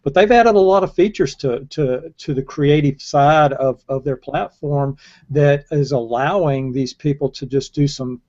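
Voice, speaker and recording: An older man on an online panel, speaking in a hesitant, halting way over a video call. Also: on the high side, warm tone. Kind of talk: panel discussion. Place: indoors against a dark backdrop. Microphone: earphone headset on video call. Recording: dull, lo-fi video call audio.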